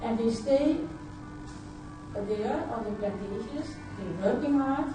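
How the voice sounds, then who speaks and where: A woman whose first language is Dutch, from Suriname, giving a halting personal talk at a conference, often repeating words and filling pauses with uh. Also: a little high, expressive, music in the background. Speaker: conference speaker. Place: large conference hall. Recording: echoey hall, voice picked up from far off.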